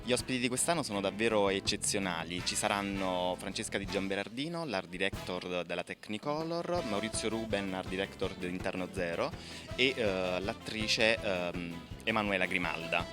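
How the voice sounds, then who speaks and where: A man giving an interview answer as a festival official, listing items with halting pauses. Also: deep voice. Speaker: festival deputy director, interviewee. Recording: music playing behind the voice. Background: music.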